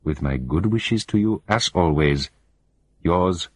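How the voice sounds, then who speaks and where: A man's voice: low-pitched, lively intonation, slow, a little mellow, breathy edge, no room sound, heavily acted. A man voicing an eager male business friend who urges a partner on in an earnest, persuasive way.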